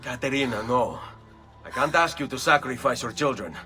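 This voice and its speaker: An actor playing a grim, resolute young nobleman assassin in a video game, speaking in a grave, measured way. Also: mid-range voice, lively intonation, pushed and bright, soft background music. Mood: earnest. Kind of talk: video game cutscene acting.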